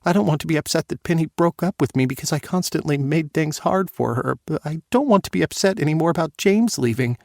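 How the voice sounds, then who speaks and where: A man's voice: a little high, animated, quick pace, gravelly, hardly any pauses, no echo, studio quality, pitched above the performer's own voice. A man voicing a tearful young woman. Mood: pleading. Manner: soft, shaky, pleading. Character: tearful young adult daughter, fragile and apologetic.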